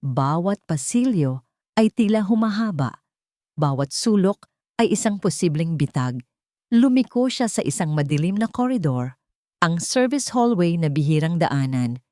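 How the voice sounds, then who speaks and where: A woman's voice: fairly low pitch, big pitch swings, unhurried, dark tone, no echo, clean studio sound. A woman with a Filipino accent reading a scripted story as a dramatic narrator.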